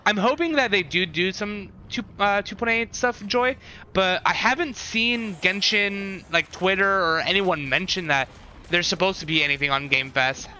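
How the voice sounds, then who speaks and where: A man's voice: sits high, moderate pace, pushed and bright, slight background noise. A man live streaming casual reactions and commentary, rambling as he thinks aloud, with music behind him. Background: game showcase trailer audio and music.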